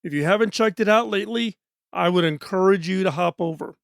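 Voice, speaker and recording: A middle-aged man hosting a podcast in a studio. He talks in an earnest, measured, scripted way, and his voice is hoarse. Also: high voice, no room sound. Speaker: podcast host.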